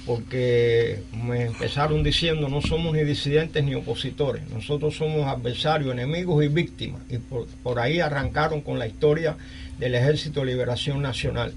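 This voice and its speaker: An older man with a Cuban accent hosting a live radio talk show, speaking haltingly and repeating phrases. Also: medium pitch, vocal fry. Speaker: radio host. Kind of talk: live call-in talk, recounting and interviewing.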